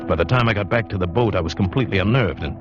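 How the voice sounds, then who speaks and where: An actor as a low-voiced brooding seafarer. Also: male voice, very fast, lightly acted. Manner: brooding, dramatic. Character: earnest, uneasy seafaring man narrating his adventure. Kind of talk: 1940s-50s radio drama narration and dialogue. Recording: muffled vintage radio, music behind.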